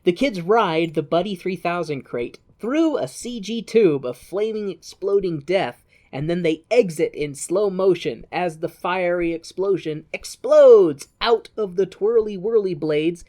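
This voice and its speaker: Low-pitched woman giving a playful movie recap. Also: animated, unhurried, warm tone. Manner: playful, wry asides. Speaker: podcast co-host. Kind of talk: casual comedic movie recap, partly reading from notes.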